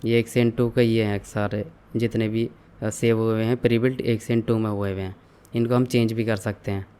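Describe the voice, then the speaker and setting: A young man calmly walking viewers through an online store setup, step by step, mixing English terms into his explanations. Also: fairly low pitch, normal speed, smooth. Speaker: online tutorial instructor. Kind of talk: screen-share software walkthrough.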